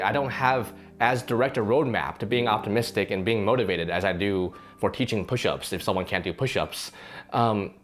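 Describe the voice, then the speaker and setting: A slightly hoarse man, a fitness channel host, talks earnestly to the camera in a casual reflection, with frequent restarts and fillers. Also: fairly low pitch. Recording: faint guitar music underneath.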